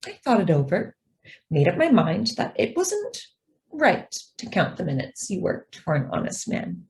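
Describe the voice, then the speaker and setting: A woman playing a devoted, idealistic young office secretary in a radio drama, speaking earnestly with deliberate emphasis. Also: on the low side, huge pitch swings, darkish tone, heavily acted. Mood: earnest, confiding. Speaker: actor.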